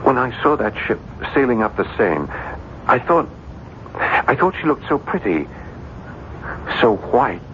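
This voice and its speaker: An actor playing a tormented, obsessive French writer in a radio drama, speaking with intense, halting, brooding delivery. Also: male voice, medium pitch, very animated. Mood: brooding obsession. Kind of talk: 1970s radio drama acting. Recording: muffled, noisy vintage radio broadcast.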